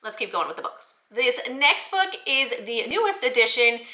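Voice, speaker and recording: Bright, high-voiced woman presenting, roomy sound. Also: big pitch swings, moderate pace. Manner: enthusiastic, explanatory, addresses viewers directly. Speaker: host of a children's book review channel. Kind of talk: upbeat scripted vlog presentation to teachers.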